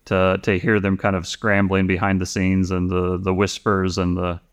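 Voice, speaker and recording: A man's voice: low-pitched, monotone, normal speed. A man in his forties, a podcast guest, talking casually and rambling with frequent restarts and fillers. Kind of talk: casual conversation.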